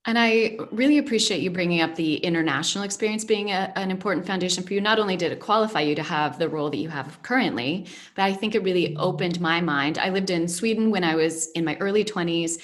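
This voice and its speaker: A woman hosting a casual interview, reflective and storytelling, with frequent pauses. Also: fairly low pitch. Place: based in Spain.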